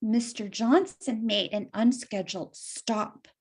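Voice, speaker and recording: A woman's voice: a little high, takes it slowly, breathy edge. A woman reading a story aloud for children, explaining it simply and putting questions to young listeners.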